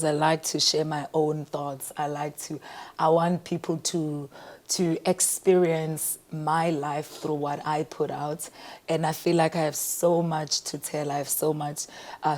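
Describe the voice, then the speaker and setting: A South African woman with a South African accent, a singer answering interview questions in an animated way and often repeating words as she thinks. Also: deep voice. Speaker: guest singer and entrepreneur.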